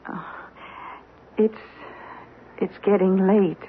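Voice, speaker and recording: An actress playing a timid, uneasy secretary in a radio drama, halting and placating as she humors a deluded man. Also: mid-range voice, fairly even intonation. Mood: hesitant unease. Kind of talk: 1970s radio drama acting. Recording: noisy tinny old radio broadcast.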